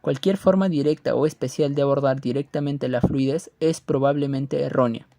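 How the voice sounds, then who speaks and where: A man's voice: mid-range voice, fairly even intonation, normal speed, creaky, mellow, no room sound, studio quality. A man reading scripted educational narration aloud in a formal manner.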